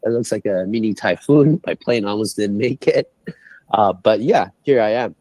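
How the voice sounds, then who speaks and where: A man from Singapore, a guest on a livestream called in remotely, explaining things in an animated way and jumping in pitch on key numbers. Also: moderate pace, no echo. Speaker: guest, head of global strategy. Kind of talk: livestream interview talk. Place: indoors, calling in from abroad. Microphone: remote call.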